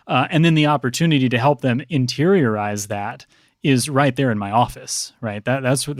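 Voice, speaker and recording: Halting man in a dry recording. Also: medium pitch, no echo. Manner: halting, repeats words as he thinks. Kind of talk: thoughtful interview conversation.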